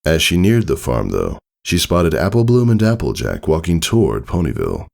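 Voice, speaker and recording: A man's voice: extremely low pitch, huge pitch swings, normal speed, creaky, darkish tone. A man reading a story aloud as narrator, in a scripted storybook style. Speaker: narrator, fan fiction reader.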